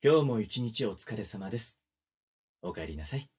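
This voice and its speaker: An actor playing a polite, gentlemanly young wedding photographer who speaks softly and teases the listener in an audio drama. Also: male voice, fairly low pitch, animated, moderate pace, very noisy, natural voice. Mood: caring, soft. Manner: soft-spoken, polite, teasing.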